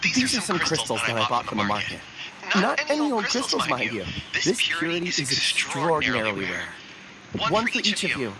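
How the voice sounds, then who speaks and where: An actor playing a gentle, earnest young man in a game who loves ores, speaking softly and politely. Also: fairly high pitch, huge pitch swings, normal speed, a loud crowd, rough recording. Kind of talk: game character dialogue.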